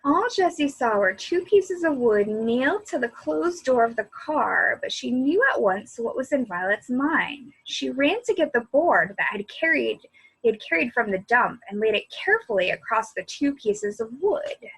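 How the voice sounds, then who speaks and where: A woman reading a children's story aloud as a library storyteller, in an expressive, animated way. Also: a little high, breathy edge, poor audio. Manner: animated, pausing to comment on the story.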